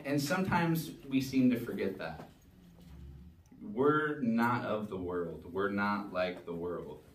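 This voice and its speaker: Low-voiced guy preaching, reads scripture slow and firm, mic kinda far off. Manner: measured, emphatic scripture reading. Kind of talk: church service reading and preaching. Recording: roomy sound from a distance.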